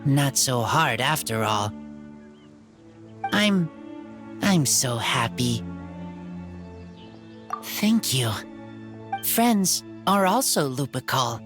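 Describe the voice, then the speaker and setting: A woman voicing a gentle, earnest feral boy in an anime game, speaking solemnly and haltingly in short, simple phrases. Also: extremely low pitch, big pitch swings, over music, full character voice. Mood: sincere.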